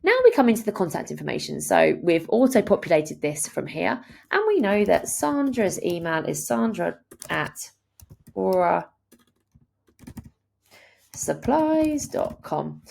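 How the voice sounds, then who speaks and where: Woman, instructional screen-share narration, animated pitch, creaky edges, explanatory delivery. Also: unhurried, long pauses. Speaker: software tutorial presenter.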